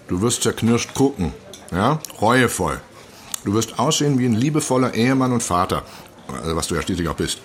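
A man acting in a radio play as a confident lawyer friend, measured, wry and reassuring. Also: sits low. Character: assured male lawyer friend, coaching and reassuring.

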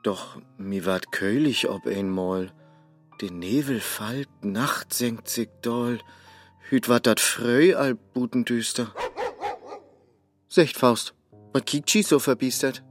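An actor playing a sly, chatty tempter in a Low German radio play, with a Low German accent. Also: male voice, slow, faint music behind, close to an everyday voice. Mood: teasing. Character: sly cheerful male tempter Mephisto.

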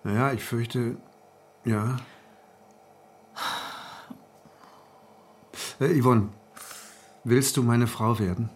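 A man's voice: mid-range voice, steady tone, slow pace, slight background noise, close to an everyday voice. Anxious, wordy man of sixty played by an actor. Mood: tender, unsure. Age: 60s. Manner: hesitant, stammering, wry. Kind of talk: naturalistic radio drama acting.

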